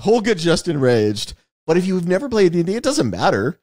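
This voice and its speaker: A man with a very animated, swinging delivery, recorded close and dry. He talks like a podcast host analysing a movie in conversation, explaining each point emphatically and often tagging on "right?". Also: sits high, a little fast, no room sound.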